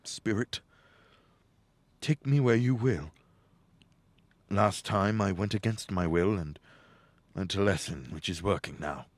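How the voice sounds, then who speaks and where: A man's voice: deep voice, slow, pauses often, no room sound. A man acting a humbled, awed old miser in a radio play, earnest and hesitant. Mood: humble.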